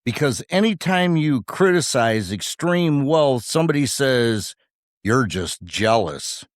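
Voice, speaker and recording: A man's voice: medium pitch, big pitch swings, slow pace, dry recording, studio quality. A man co-hosting a podcast, delivering scripted commentary in short, punchy rhetorical phrases with wry humour. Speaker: podcast co-host.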